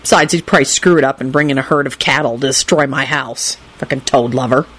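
A woman's voice: rumbling low, fast, fairly bright tone, pitched below the performer's own voice. A woman voicing a foul-mouthed, scheming grumbler muttering private complaints. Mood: sour muttering.

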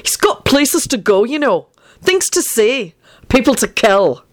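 A woman's voice: on the high side, lively intonation, measured pace, dry recording, studio quality, voice pushed up. A woman voicing an anxious, gloomy young man in an audiobook story, exasperated and sardonic.

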